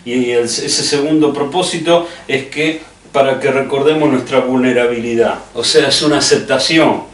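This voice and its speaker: A man's voice: mid-range voice, normal speed. A middle-aged man with a Rioplatense Spanish accent preaching conversationally, addressing the listener directly and earnestly with rhetorical questions. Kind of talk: conversational sermon. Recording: echoey room, distant microphone.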